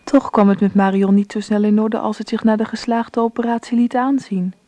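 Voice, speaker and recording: A woman narrates a radio play from a script. Her voice is mellow and dark, of medium pitch, recorded close and dry. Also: little pitch movement, brisk, no echo.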